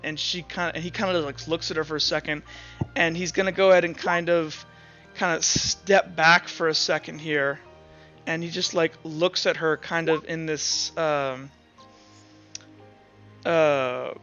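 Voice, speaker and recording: A man narrating a tabletop roleplaying game as game master, improvising haltingly as he goes. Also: a little high, steady tone, pushed and bright. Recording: dull lo-fi audio under music.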